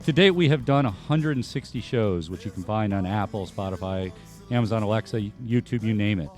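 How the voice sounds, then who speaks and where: A man's voice: lowish voice, a little fast, darkish tone. A man hosting a casual talk show, chatting loosely with lots of fillers and restarts. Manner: rambling, many fillers and restarts. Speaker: host. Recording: music bed behind. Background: faint band music.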